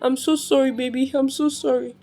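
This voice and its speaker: Woman acting an anguished, high-pitched young mother. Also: level tone, mellow, faint music behind. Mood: horrified grief. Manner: halting, repeats words, pleading. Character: anguished young mother, frightened by her own dark craving.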